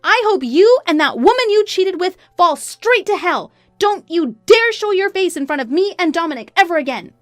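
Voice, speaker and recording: A woman voicing an indignant, scornful betrayed wife in a narrated story, sarcastic and forceful. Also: high voice, sing-song, normal speed, no room sound, studio quality, voice pushed up. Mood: angry.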